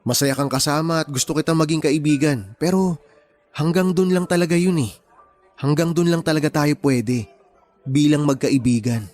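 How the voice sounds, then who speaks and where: An actor playing a kind, principled young man in a Tagalog radio romance, speaking gently and earnestly. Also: fairly high pitch, fairly even intonation, normal speed, soft background music, lightly acted. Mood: gentle, earnest.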